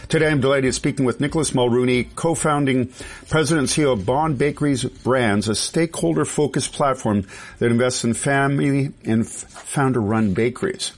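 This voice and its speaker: A man's voice: mid-range voice, brisk. A man hosting a radio interview show, asking curious questions with casual asides. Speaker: radio talk show host. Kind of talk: interview conversation.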